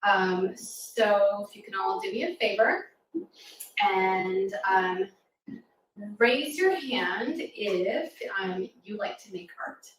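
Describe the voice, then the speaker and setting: A woman's voice: mid-range voice, slow pace. A middle-aged American woman talking informally to children like a teacher, often checking in with a questioning "right?". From New York City suburbs, United States. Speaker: children's author and former teacher. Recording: echoey room, distant mic, faint noise.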